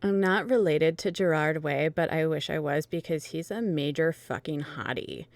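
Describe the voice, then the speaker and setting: A woman's voice: low-pitched, normal speed, no echo. A woman reading fan fiction dramatically as a melodramatic, self-absorbed teenage goth vampire witch. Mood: self-absorbed, matter-of-fact.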